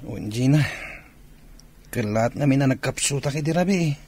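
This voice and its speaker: A man's voice: mid-range voice, normal speed, darkish tone, slightly rough, close to an everyday voice. An actor playing a frail, sickly young man in a radio drama, speaking wearily and plaintively. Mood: weary. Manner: plaintive, weary. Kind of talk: Filipino radio drama acting. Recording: noisy background ambience.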